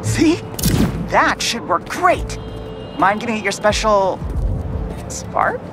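A romantic young male student in a video game, excitable and sincere. His pitch moves a lot while his pace stays unhurried. Loud music sits behind the voice. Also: full character voice. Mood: excited, grateful.

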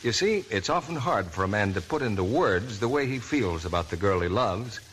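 A male announcer reading a 1940s radio commercial in a smooth, persuasive way. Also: on the low side, lively intonation, moderate pace, fairly bright tone. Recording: old noisy radio broadcast.

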